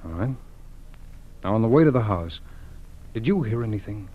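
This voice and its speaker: Tinny low-voiced calm federal agent. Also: male voice. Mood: probing. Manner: measured, methodical questioning, reassuring. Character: calm, businesslike male FBI agent. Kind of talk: 1940s radio acting. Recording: tinny, band-limited old radio recording.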